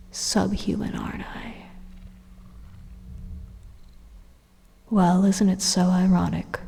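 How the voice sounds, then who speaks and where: A woman voicing a sinister, condescending AI supercomputer in a breathy, half-whispered ASMR monologue, slow, deliberate and menacing. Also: fairly low pitch, dark tone, full character voice. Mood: wounded sarcasm. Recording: reverberant hall-like echo.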